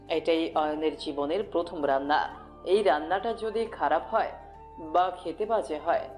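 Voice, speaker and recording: Low-pitched woman narrating a romance. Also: darkish tone. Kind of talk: scripted romantic story narration. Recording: music bed behind voice.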